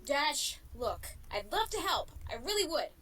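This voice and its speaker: A woman voicing a cool but softhearted female pony team captain in a cartoon fan audio drama. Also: sits high, sing-song, a little slow, slight background noise, exaggerated voice. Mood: reluctant.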